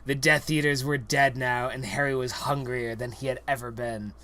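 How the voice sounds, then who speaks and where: Mid-pitched young adult reading a comic story. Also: fairly even intonation, normal speed, a bit bright. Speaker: reader. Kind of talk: comedic dramatic reading aloud of a parody story.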